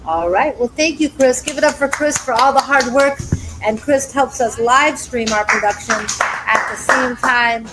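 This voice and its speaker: A woman's voice: sits high, normal speed, few pauses, noisy background. A woman hosting a live talk show, upbeat and chatty, speaking directly to her studio and online audience.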